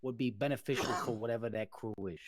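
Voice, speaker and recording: A man's voice: medium pitch, quick pace, dry recording, studio quality. A man improvising formal, long-winded business talk in a mobster roleplay. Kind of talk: improvised in-character business speech.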